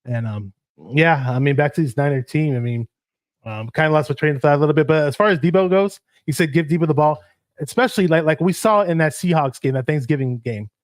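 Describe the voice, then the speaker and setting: A man whose first language is Arabic, co-hosting a casual sports livestream and shouting out viewers in the chat. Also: a little high, quick pace, no echo, clean studio sound. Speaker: podcast co-host.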